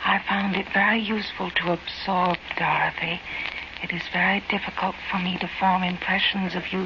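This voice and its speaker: A woman playing a detached, measured alien intelligence that speaks through a human woman's voice in an old-time radio horror play. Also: fairly low pitch, close to an everyday voice. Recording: noisy, muffled old radio broadcast.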